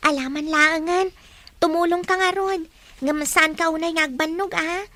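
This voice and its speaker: A woman's voice: very high voice, steady tone, moderate pace, pushed and bright. A woman acting a grateful, dutiful teenage eldest daughter in an Ilocano radio drama, with a tender, girlish delivery. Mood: tender, wistful. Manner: tender, earnest. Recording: music bed under the dialogue.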